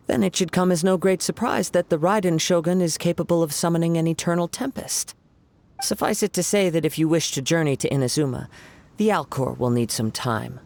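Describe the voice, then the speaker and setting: An actor voicing a calm, poetic young wandering samurai in a video game, speaking in a measured, reflective way. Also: male voice, fairly high pitch, gravelly. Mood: composed. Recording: game music behind.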